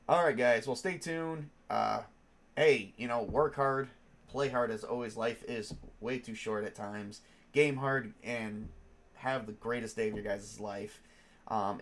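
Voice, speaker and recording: Man, stuffed-up nose, casual stream chat, rambling, game master. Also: fairly low pitch. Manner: rambling, lots of ums and restarts. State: stuffed up from a cold.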